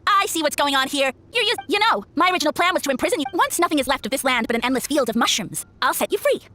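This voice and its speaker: A woman's voice: high-pitched, animated, rapid-fire, bright, forceful tone. A woman voicing a grandiose, blustering little mushroom god, a scheming villain in a video game. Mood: scheming boastful. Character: pompous tiny mushroom god, a scheming self-proclaimed overlord. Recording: game music behind.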